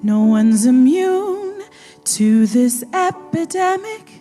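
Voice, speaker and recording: A woman's voice: extremely high pitch, very animated, slow, warm tone, soft background music, exaggerated voice. A woman playing an anguished, lamenting wife in an audio drama, with a poetic delivery. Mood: mournful. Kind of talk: audio drama acting, half-sung spoken word.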